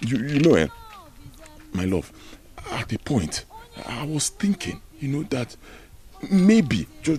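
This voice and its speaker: Young prince in love, pleading, hugely swooping pitch, soft to loud. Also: male voice, vocal fry, full character voice. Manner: pleading, swings between hush and force.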